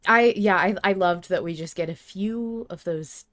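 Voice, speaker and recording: A woman's voice: medium pitch, normal speed, a bit bright. A woman co-hosting a podcast, chatting casually and enthusiastically about a show she follows, with emphatic delivery. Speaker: podcast co-host. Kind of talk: casual fan discussion.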